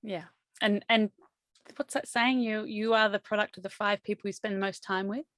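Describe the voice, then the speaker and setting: A woman's voice: mid-range voice, steady tone, normal speed, a bit bright, dry recording. A woman hosting an interview, affirming her guest with enthusiasm and frequent laughter. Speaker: interview host. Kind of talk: conversational interview.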